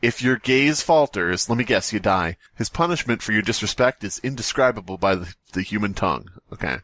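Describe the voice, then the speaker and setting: A man's voice: on the low side, expressive, brisk, fairly bright tone. A man co-hosting a casual comedy podcast, reading horror stories aloud with playful joking asides. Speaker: podcast co-host.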